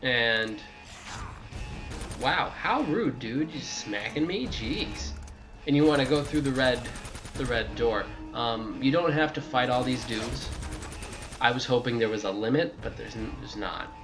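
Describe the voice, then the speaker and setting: A man's voice: mid-range voice, a little slow, over music. A man giving a casual video game walkthrough, rambling and correcting himself, with jokey asides to the game. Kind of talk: casual gameplay walkthrough commentary.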